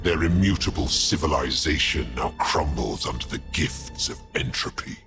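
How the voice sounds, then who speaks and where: An actor playing a menacing ancient male Chaos warlord in a game cutscene, with a deep, sinister drawl, gloating and savoring each word. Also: expressive, normal speed, over music. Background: dramatic orchestral score.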